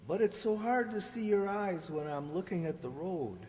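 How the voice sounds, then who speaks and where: A man playing a bashful, courting young country man in a radio drama, earnest and halting. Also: high voice, expressive, a little mellow. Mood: tender, bashful. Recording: hall-like long reverb.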